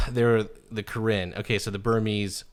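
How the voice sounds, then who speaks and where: A man's voice: lowish voice, flat delivery, unhurried. A man in his thirties hosting a podcast interview, chatty and loose, with many fillers and restarts. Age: 30s. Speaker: podcast host. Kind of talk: casual interview.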